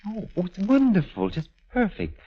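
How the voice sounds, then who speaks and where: An actor playing a fussy, arty photographer sidekick with an American accent, theatrical and effusive in a 1940s radio style. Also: male voice, high-pitched, huge pitch swings, moderate pace, full character voice. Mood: eager. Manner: theatrical, effusive, swooping delivery. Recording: muffled vintage 1940s radio recording.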